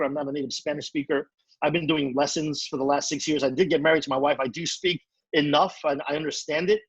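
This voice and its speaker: A man giving an energetic, repetitive motivational training talk as a network marketing trainer. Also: a little high, breathy edge, no room sound, muffled recording. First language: English.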